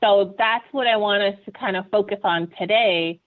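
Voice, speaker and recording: A woman's voice: mid-range voice, normal speed. Woman on a tinny video call. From Ghana. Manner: earnest, often ends points with "right?". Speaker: webinar panelist. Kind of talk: conversational presentation.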